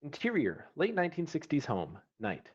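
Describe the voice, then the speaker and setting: A man's voice: a little high, huge pitch swings, moderate pace, breathy edge. A man reading stage directions and scene headings as the narrator of a screenplay. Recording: dull, slightly muffled online call audio.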